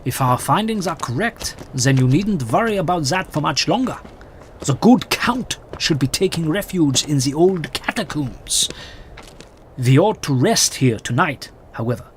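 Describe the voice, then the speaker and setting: A man's voice: a little high, animated, measured pace, slight background noise. A man playing a stern, fatherly vampire-hunting mentor in an audio drama, earnest, instructive and commanding. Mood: grave resolve.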